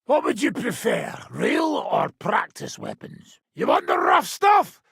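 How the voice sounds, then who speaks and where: An actor playing a brash, hard-drinking medieval fighter and combat trainer in a video game, gruff and taunting, with a raspy, gravelly voice. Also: male voice, high-pitched, wildly swooping pitch, unhurried, fairly bright tone, no echo, studio quality, exaggerated voice.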